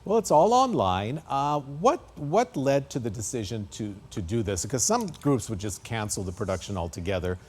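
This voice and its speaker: Lively male interview host. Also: mid-range voice, moderate pace, few pauses, slight background noise. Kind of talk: scripted narration and interview.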